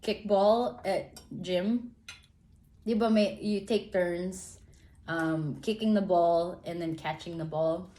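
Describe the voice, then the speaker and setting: A woman's voice: fairly low pitch, fairly even intonation, slow pace, dark tone. A woman in her twenties who works as a theater performer, telling reflective stories about growing up and laughing often in a casual family chat. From Canada. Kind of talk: casual family chat in mixed English and Tagalog. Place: home room, roomy. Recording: roomy home room echo.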